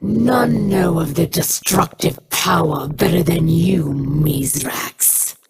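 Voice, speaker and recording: Cold commanding alien rebel, low and slow, hiss behind. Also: female voice, vocal fry. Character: proud defiant female alien rebel leader.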